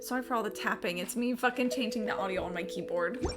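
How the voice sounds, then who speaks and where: A woman's voice: mid-range voice, fast talker, over loud music. A woman live streamer giving casual game commentary in a blunt, sweary, offhand way.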